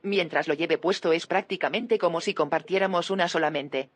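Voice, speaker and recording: A woman's voice: on the low side, a little fast, no room sound, natural voice. Actress as a focused woman scientist, very dry sound. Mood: proud, explanatory. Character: focused female scientist inventor demonstrating her machine. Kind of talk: scripted audio drama dialogue.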